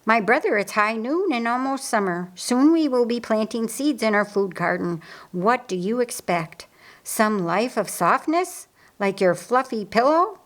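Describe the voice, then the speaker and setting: A woman plays an excitable, devoted sister in an audiobook story. Her voice lifts high and carries expressive, bantering questions and exclamations. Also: measured pace.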